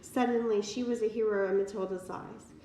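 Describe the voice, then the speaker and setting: A woman's voice: medium pitch, fairly even intonation, fairly quick, mellow. Woman reading aloud in echoey room. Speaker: read-aloud reader. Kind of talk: expressive children's book narration. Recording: roomy, distant mic, faint noise.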